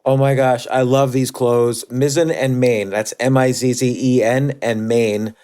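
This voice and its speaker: A man's voice: medium pitch, little pitch movement, a little slow, warm tone, clear, resonant tone. A man delivering a sponsor read in a casual, personal, conversational style. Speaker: host reading a sponsor message.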